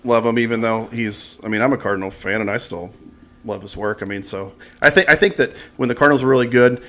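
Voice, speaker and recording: A man's voice: medium pitch, quick pace, fairly bright tone. An American man hosting a podcast, talking in a casual, conversational interview style. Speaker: podcast host.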